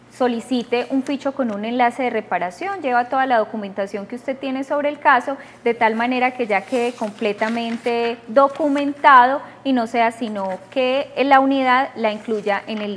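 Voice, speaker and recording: A woman with a Colombian accent politely and formally explaining procedures to callers as an adviser. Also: a little high. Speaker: victims' unit adviser. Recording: faint background noise.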